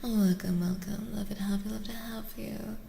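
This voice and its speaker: A soft-spoken woman hosting a casual ASMR livestream, playful and unhurried, often slipping into a breathy whisper as she chats with viewers. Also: medium pitch, steady tone. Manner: casual, playful, reacting to chat. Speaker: livestream host. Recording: roomy echo, faint background noise.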